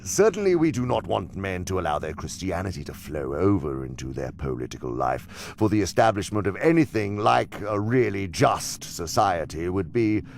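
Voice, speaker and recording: Deep, scornful senior demon. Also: male voice, sing-song, gravelly, a little hiss or hum, exaggerated voice. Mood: calculating, instructive. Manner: scornful, deliberate, theatrical lecturing. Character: senior male demon mentor, cunning, condescending, imperious. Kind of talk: audio drama monologue-like instruction.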